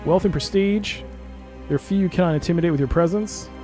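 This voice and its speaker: A man's voice: high-pitched, brisk. Man commentating over game music. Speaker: gaming video creator. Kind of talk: casual game playthrough commentary, reading on-screen text aloud.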